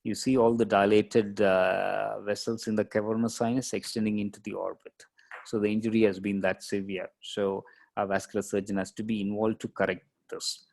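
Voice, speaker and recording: A man lecturing as a radiologist, explaining case images step by step in a halting, explanatory way. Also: lowish voice, flat delivery, creaky, a little mellow.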